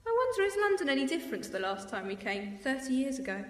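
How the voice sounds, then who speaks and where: Actress as a sharp Time Lady, dry and teasing, high lively voice. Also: fast talker, soft background music. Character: sharp-witted female Time Lord companion.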